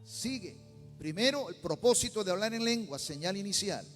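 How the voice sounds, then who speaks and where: High-voiced Peruvian pastor preaching in a hall. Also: male voice, lively intonation, moderate pace, faint music behind. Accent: Peruvian Spanish. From Peru. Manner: preaching with rising intensity, bursts into tongues. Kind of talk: sermon. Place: church sanctuary.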